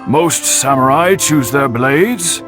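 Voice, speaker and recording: A man voicing a dignified, patient older master swordsmith in an anime game, speaking in a measured, formal manner. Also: medium pitch, expressive. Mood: solemn, measured. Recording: music behind the voice.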